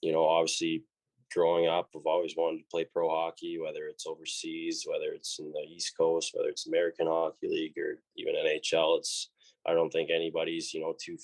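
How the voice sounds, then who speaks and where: A man's voice: very deep voice, completely flat delivery, fairly quick, vocal fry, rough recording. A young man with a Canadian accent, a college hockey player answering interview questions in a laid-back way, full of fillers and restarts. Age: young adult.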